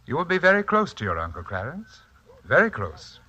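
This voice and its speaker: Sinister, suave, silkily expressive uncle. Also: male voice, fairly high pitch, brisk, breathy edge, dull audio, full character voice. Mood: veiled menace. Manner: soft-spoken, formal, suave, condescending. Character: sinister, composed, polite mystic uncle back from India. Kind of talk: 1940s radio drama acting. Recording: vintage 1940s radio recording.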